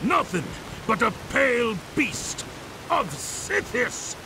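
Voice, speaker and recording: Scornful, eerie game spirit, theatrical, extremely swooping pitch, loud hiss and rain behind. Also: male voice, big pitch swings, takes it slowly, gravelly, full character voice. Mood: ominous, scornful. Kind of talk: theatrical fantasy game villain. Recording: hissy, with rain noise.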